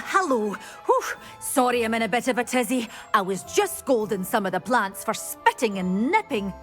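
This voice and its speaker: An actress with a British accent voicing a flustered, chatty woman shopkeeper in a video game, fussy and effusive. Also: fairly high pitch. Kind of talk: video game character dialogue.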